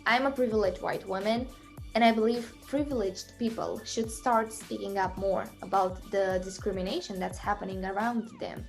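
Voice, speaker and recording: A woman's voice: medium pitch, steady tone, moderate pace, vocal fry, faint music behind. A woman from Romania speaking in an outspoken, earnest way. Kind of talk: video testimonial.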